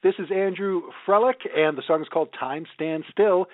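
A man's voice: high-pitched, normal speed, dry recording. A man hosting a radio music interview, asking questions and introducing songs. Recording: dry, phone-like narrow band sound.

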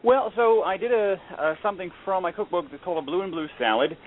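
A man's voice: high voice, fast talker. A young adult man, a guest chef, chatting casually and joking, with lots of fillers. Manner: easygoing, joking, many fillers. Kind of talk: casual phone interview. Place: Atlanta. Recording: tinny phone line, faint hiss.

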